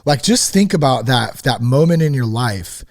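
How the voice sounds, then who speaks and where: A man's voice: medium pitch, expressive, moderate pace. A man hosting a podcast, chatting casually in an informal, slangy way with enthusiastic, affirming responses. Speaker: podcast host. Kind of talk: casual interview chat.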